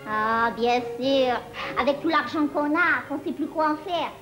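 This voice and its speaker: An actress playing a boastful, stubborn young working-class bride-to-be with a French Algerian pied-noir accent in a comic drama. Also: female voice, sits high, unhurried, over music, poor audio. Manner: colloquial, bossy, exclamatory.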